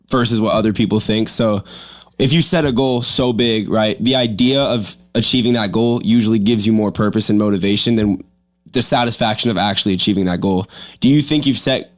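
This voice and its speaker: A young man hosting a podcast, asking questions in a casual, conversational way. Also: on the low side. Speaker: podcast host, interviewer. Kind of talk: casual interview chat.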